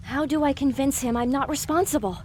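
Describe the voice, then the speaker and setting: A woman's voice: high voice, brisk, darkish tone, slight background noise, close to an everyday voice. A woman playing an anxious young female pony in an audio drama, sarcastic and easily panicked.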